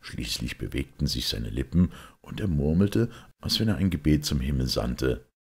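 A man narrating a literary story for an audiobook in a deliberate, dramatic storytelling manner. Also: a bass voice, lively intonation, gravelly, a little mellow, breathy edge.

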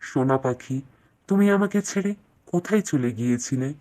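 A woman's voice: extremely low pitch, animated, moderate pace, mellow, pitched below the performer's own voice. A woman voicing a tender, grateful king in a storybook cartoon, speaking with affection and solemnity. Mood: tender, questioning.

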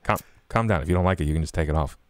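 Male player as calm monster hunter, very low voice, quick deadpan delivery, tabletop game. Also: no room sound, close to an everyday voice. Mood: deadpan, gentle.

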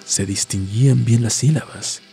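A man reading a scripted horror story in a measured, literary storytelling manner. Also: medium pitch, creaky, mellow. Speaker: narrator. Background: music.

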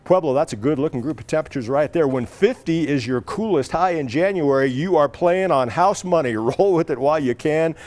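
A man's voice: on the high side, a little fast, few pauses, darkish tone. A middle-aged man presenting a TV weather forecast in a studio, in an upbeat, folksy, conversational way on a lapel mic. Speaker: TV meteorologist. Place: TV studio in front of a weather wall.

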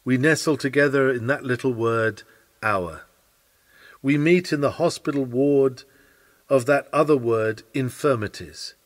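A man reading an old Victorian sermon aloud in an earnest, oratorical manner with deliberate phrasing. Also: medium pitch. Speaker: sermon reader.

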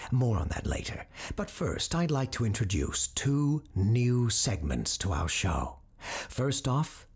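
A man hosting a scripted horror radio drama as a parapsychologist, with a hushed, grave, deliberate delivery. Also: lowish voice, slightly rough. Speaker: radio show host.

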